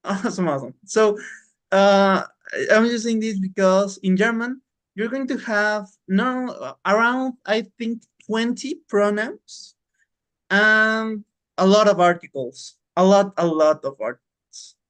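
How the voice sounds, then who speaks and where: A man's voice: high voice, unhurried, a bit bright, clean tone. Male language teacher for an online class, encouraging, informal, repeats words to drive points home. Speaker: English teacher. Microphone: online class call.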